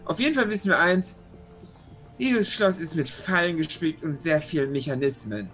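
A man's voice: on the high side, lively intonation, normal speed, fairly bright tone, soft background music. A man speaking casually as a player in a tabletop role-playing session. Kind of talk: casual game chat.

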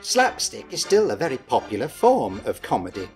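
A middle-aged man narrates with the playful, exaggerated flair of a pantomime dame, his pitch leaping and swooping all over the place. He speaks from a small dressing room at desk distance from the camera, with faint music behind him. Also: wildly swooping pitch, normal speed. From Britain. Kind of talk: scripted explainer narration.

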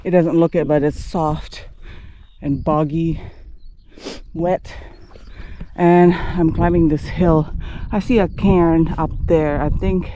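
A middle-aged woman hiking alone, thinking aloud to a camera held at arm's length, winded from climbing and given to breathy exclamations. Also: low voice, fairly even intonation, slow, dark tone. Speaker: solo long-distance hiker. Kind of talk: first-person hiking vlog narration. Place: open windy moorland outdoors. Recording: dull outdoor camera audio with wind noise.

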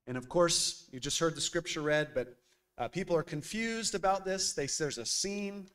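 A man, preaching a sermon at a church pulpit in a lively, conversational way with frequent filler words. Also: on the high side, moderate pace, clear, resonant tone. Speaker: pastor. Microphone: boom mic on a stand at the pulpit.

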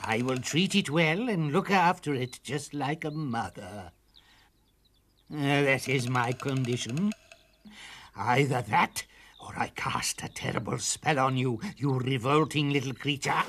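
A man's voice: mid-range voice, a little slow, fairly bright tone, slight background noise, voice pushed up, close to an everyday voice. A man voicing a horrible, haughty female witch in a storybook, sneering and insulting with menace. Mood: scornful, bargaining. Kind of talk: storybook character voice.

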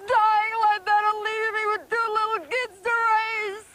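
A woman's voice: very high-pitched, level tone, normal speed, background noise. An actress playing a troubled young mother, guarded and wry, who breaks into raw grief. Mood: anguished. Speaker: patient on leave. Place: outdoors, cemetery; indoor meeting hall.

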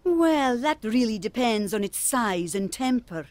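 A haughty islander in an audio drama, cryptic and withholding. Her formal speech moves widely in pitch, recorded very dry. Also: lively intonation, a little slow, a little mellow, no room sound. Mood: condescending. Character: haughty, cryptic island woman, coolly condescending.

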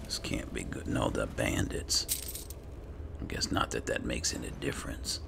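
A man's voice: very deep voice, fairly even intonation, normal speed, vocal fry, noisy background. A man giving in-character commentary on a video game, muttering sparse remarks to himself over game ambience. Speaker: gaming channel host.

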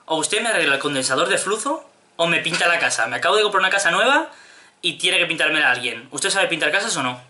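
A man's voice: fairly high pitch, expressive, fast, sharp tone, a little hiss or hum. A man in his twenties with a Spanish accent from Spain, making a prank phone call with insistent, straight-faced complaints. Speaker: prank caller. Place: small home room.

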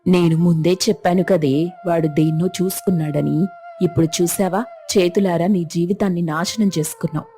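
A woman voicing a bossy, protective neighbour in an animated moral story, chiding and emphatic in a familiar way. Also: fairly low pitch, fairly quick, soft background music, close to an everyday voice. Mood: indignant, protective.

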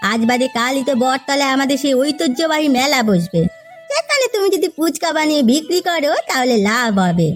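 Very high, quick, earnest maid acted by a woman. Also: sing-song, faint music behind. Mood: encouraging. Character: loyal, practical young maidservant, caring and encouraging. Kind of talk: cartoon fairy-tale dialogue.

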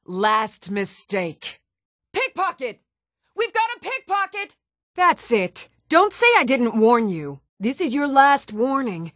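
A woman's voice: on the high side, sing-song, slow, fairly bright tone, no room sound, studio quality, exaggerated voice. A woman playing a stern, challenging Nord townswoman ready for a fight in a fantasy video game. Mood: stern warning. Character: fierce, even-tempered Nord townswoman warrior, commoner in a fantasy world. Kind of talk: fantasy video game combat and reaction lines.

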